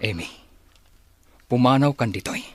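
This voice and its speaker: A man playing a caring, protective father in a radio drama, with a husky voice. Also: medium pitch, expressive, measured pace, no echo, natural voice. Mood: upset. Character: protective, caring father defending his adopted son.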